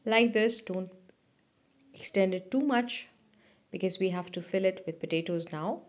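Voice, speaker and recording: A woman narrating a cooking video as a voice-over, giving steady step-by-step instructions with small restarts. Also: medium pitch, measured pace. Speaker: cooking channel host.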